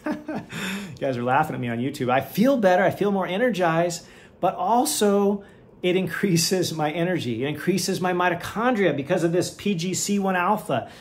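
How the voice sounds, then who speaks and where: Upbeat man explaining health, fairly high voice. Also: lively intonation, normal speed. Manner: enthusiastic, chatty, asks "right?" often; moving around and lifting weights while talking. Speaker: podcast host, physician. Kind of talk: casual health podcast explanation. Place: home office at a standing desk.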